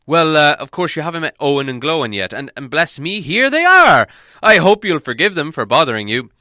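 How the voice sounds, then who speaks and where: An old storybook wizard, played by a male reader, with a bright, lifted voice. He rambles hesitantly and stammers, placating and sly as he tells his tale. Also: on the high side, big pitch swings, brisk, no echo, studio quality, voice pushed up. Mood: apologetic.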